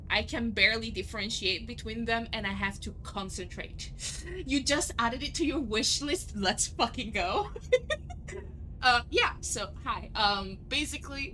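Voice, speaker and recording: A young adult woman with an Italian accent, chatting casually and excitably with her viewers while streaming a game, swearing freely. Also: mid-range voice, slight background noise, low-quality recording. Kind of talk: casual live-stream chat over gameplay.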